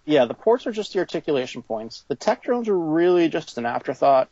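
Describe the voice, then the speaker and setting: A man answering informally as an interviewed toy designer, halting as he thinks aloud, on a slightly lo-fi recording. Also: fairly high pitch, big pitch swings, a little mellow, no room sound.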